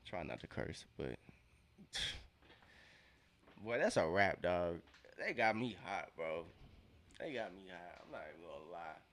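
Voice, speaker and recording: Congested young man with a low gravelly voice. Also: sing-song, takes it slowly, pauses often, fairly bright tone. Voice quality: nasal, congested. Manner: slangy and profane, repeats himself. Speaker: sports watch-along streamer. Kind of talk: casual live reaction to a basketball game.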